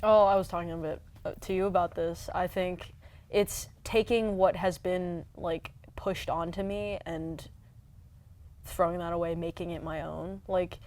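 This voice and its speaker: A young adult woman, a youth leader guest, answering slowly and hesitantly with many fillers. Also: on the low side, fairly even intonation, a little mellow. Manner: slow, hesitant, lots of "um" and "like". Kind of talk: casual interview answers.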